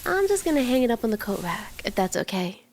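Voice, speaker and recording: A woman voicing a cute, friendly kitsune tarot mystic in an ASMR roleplay, gentle, playful and unhurried, soft-spoken and often slipping toward a whisper. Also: a little high, sing-song, slight background noise. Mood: welcoming, playful. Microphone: close ASMR microphone in a dry space.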